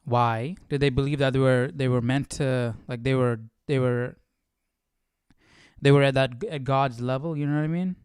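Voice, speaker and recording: A man's voice: mid-range voice, fairly even intonation, moderate pace, darkish tone, clean tone, dry recording, clean studio sound. A man hosting a livestream, chatting casually and thinking aloud in a rambling way. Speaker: livestream host of a Bible study series.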